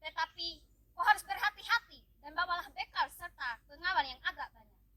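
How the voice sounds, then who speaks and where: Teenage girl playing a very high-voiced folktale king. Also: a little fast, pushed and bright. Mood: stately, granting. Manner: formal, declamatory. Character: indulgent, authoritative royal father, king of folktale. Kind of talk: amateur school storytelling drama.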